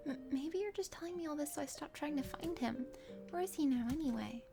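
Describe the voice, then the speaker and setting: A woman's voice: sits high, animated, brisk, gravelly. A woman whispering as she reads a visual novel game aloud in ASMR style. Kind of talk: whispered ASMR narration and reading of game dialogue. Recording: music behind the voice.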